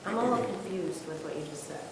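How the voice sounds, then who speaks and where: A woman's voice: medium pitch, fast talker. A woman reporter challenging an official with follow-up questions at a press briefing. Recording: very echoey room, audience noise.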